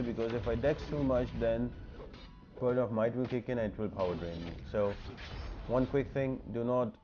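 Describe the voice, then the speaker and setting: A man's voice: medium pitch, mellow. A man giving informal walkthrough commentary for a mobile game, explaining things step by step in an instructional way. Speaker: gaming guide video host.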